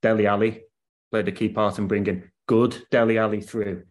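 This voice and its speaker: A man's voice: on the low side, level tone, moderate pace. A man, a football writer on a podcast, talking through his analysis at length in a casual chat. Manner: analytical, weighs points at length.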